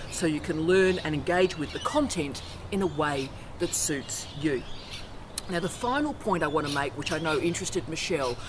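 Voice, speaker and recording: A middle-aged woman, a university academic, giving an emphatic, forthright instructional vlog talk outdoors on a campus by the ocean, filmed on her own camera. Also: sits low. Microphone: self-filmed camera outdoors. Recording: outdoor noise with birds and traffic.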